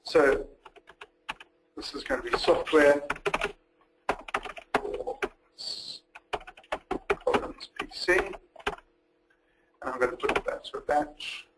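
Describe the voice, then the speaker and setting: Methodical male software demonstrator, noisy recording. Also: a little high, slow pace, pauses often. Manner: methodical, step-by-step explaining. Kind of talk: walkthrough of a recorded software webinar. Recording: noticeable background noise.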